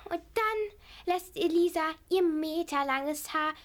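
A woman's voice: extremely high pitch, slow pace. A female performer playing a naive little kindergarten girl, innocent and matter-of-fact, in a children's radio play.

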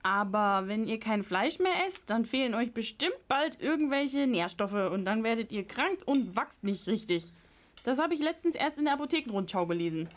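A girl or woman acting as a kindly grandmother in an amateur radio play. Her voice is on the high side, and she is cautious yet open to persuasion. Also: animated, moderate pace, natural voice. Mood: concerned. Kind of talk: amateur audio drama acting.

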